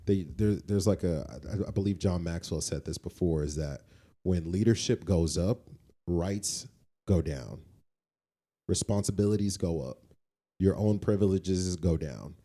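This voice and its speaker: A man's voice: deep voice, a little slow, mellow. A man co-hosting a podcast, talking conversationally in an opinionated, explanatory way and often checking in with the listener. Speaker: podcast co-host.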